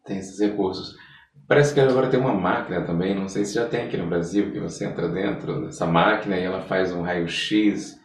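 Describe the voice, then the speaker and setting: A man's voice: low-pitched, a little fast. A man with a Brazilian accent chatting casually as an interviewer, asking and commenting in a tentative, informal way. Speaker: podcast interviewer. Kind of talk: casual chat. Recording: echoey room, distant from mic.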